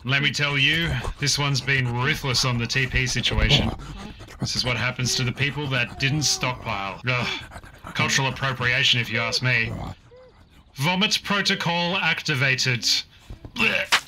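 A man playing a dry, sardonic robotic talking dog in a cartoon, speaking deadpan. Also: medium pitch, normal speed, background noise, lightly acted.

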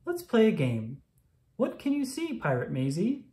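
Woman voicing a lively, friendly flamingo eye doctor. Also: medium pitch, measured pace, voice pushed up. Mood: kindly, encouraging. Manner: gentle, encouraging. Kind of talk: children's storybook character.

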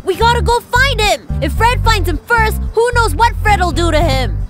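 Earnest, very high-pitched little boy. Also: normal speed. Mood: worried. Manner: expressive, exclamatory. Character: earnest young boy who dotes on his cat. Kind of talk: English-dubbed cartoon acting. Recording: music behind the voice.

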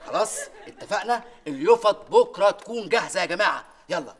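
A man's voice: sits high, lively intonation, fast, some chatter behind. A man playing a factory foreman giving rallying orders, in an Egyptian accent. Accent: Egyptian Arabic. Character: rousing male factory foreman, scheming.

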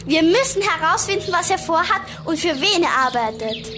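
A woman's voice: very high-pitched, expressive, normal speed, background noise. A woman playing a pert, teasing young girl detective in a children's radio play. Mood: alert. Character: Poppy, cheeky bright young girl detective.

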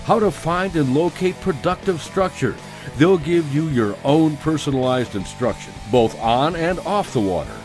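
A man's voice: a little high, a little mellow. A man announcing a promotional voice-over about fishing instruction. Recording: voice-over with faint guitar music.

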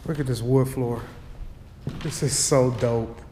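A man walking through an abandoned school with an action camera, talking to himself in low asides and getting excited at what he finds. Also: slow, slight background noise. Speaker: urban explorer vlogger. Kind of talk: casual walk-through narration. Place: abandoned school building, large indoor halls. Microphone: head or chest-mounted action camera.

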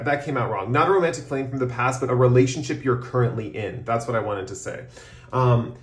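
Guy doing a casual tarot reading, kinda rambly, medium pitch voice, bit echoey room. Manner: rambling, hedging with frequent "like" and "kind of". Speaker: tarot reader, host. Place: indoors at a tabletop, off camera. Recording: roomy, echoing room sound.

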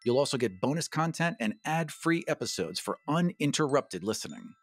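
A man's voice: medium pitch, animated, normal speed, husky. A man co-hosting a podcast, playfully bantering and telling an improvised story as a tabletop player.

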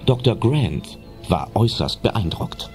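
A man's voice: fairly low pitch, very animated, unhurried, a little mellow, soft background music. A man narrating a scripted audio drama as the storyteller.

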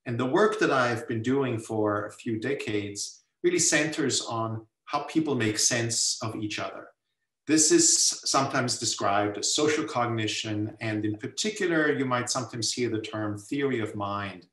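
An older man from Austria, a guest professor talking thoughtfully in a webinar with many filler pauses. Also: medium pitch, fairly bright tone, recorded from a distance. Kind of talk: webinar talk. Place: home room on a video call. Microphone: laptop mic on video call. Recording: roomy video call audio, mic set back.

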